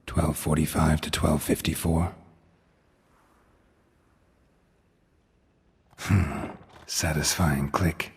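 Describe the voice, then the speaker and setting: An actor playing a gruff, sardonic middle-aged monster hunter in a video game, with a gravelly voice and terse, deadpan delivery. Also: male voice, rumbling low, measured pace, long reverb tail, full character voice. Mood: pensive, muttering. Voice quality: gravelly, husky.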